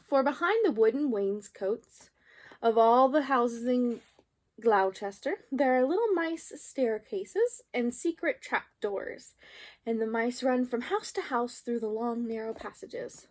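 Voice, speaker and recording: A woman storyteller reading a children's book aloud in an expressive narrating style. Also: fairly high pitch, measured pace.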